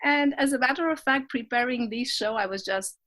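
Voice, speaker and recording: A woman speaking English as a second language, with an accent, chatting as a podcast guest. Her voice sits a little high, and her delivery is animated. She often laughs at herself and pauses to hunt for the right word. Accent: non-native English. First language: not English. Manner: animated and self-laughing, searching for words. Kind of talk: casual conversation.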